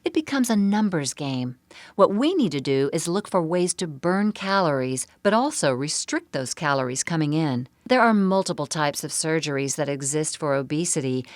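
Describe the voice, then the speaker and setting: Dry, low-pitched female medical narrator. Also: lively intonation, normal speed, no room sound. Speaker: seminar narrator. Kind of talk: scripted narration of medical presentation.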